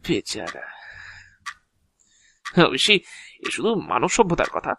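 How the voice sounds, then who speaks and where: A man's voice: a little high, vocal fry, sharp tone, no echo, heavily acted. An actor playing an ancient, talkative agent of Death in an audio drama, explaining his work proudly in a theatrical, officious yet chatty way. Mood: wistful storytelling, then matter-of-fact. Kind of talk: Bengali audio drama acting.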